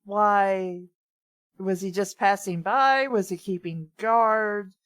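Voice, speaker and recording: A woman's voice: medium pitch, takes it slowly, fairly bright tone. A woman in casual, incredulous banter while reading a story aloud. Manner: incredulous, swearing, breaks into laughs. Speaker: host of a comedy reading show. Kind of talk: casual chat and read-aloud commentary.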